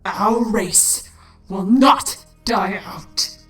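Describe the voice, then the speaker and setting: A dying insect queen of a dark audio drama, proud and commanding. She speaks slowly and theatrically, with echo around the voice. Faint music sits behind her. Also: mid-range voice. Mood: fierce resolve. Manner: slow, dramatic, regal delivery. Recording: echoing voice over music.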